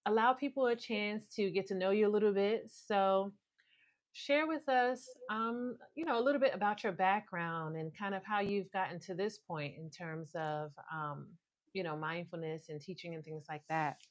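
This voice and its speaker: Reflective woman co-host, mid pitch. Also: normal speed. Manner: rambling, reflective, many fillers and restarts. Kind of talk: casual conversational reflection.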